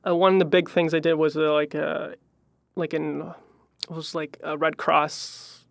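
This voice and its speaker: A young man from Chicago with a high voice, rambling through his story with lots of fillers and little trailing-off phrases. His voice is higher than most men's and has a gravelly fry in it. He is recorded close up in a dry room with no echo. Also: flat delivery, unhurried. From Chicago, Illinois. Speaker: musician guest. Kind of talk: casual podcast interview.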